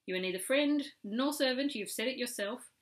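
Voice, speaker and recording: A woman voicing a shrewd, firm cave woman in a children's story, brisk and commanding with a teasing edge. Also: a little high, pitched above the performer's own voice, close to an everyday voice. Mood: scornful, dismissive.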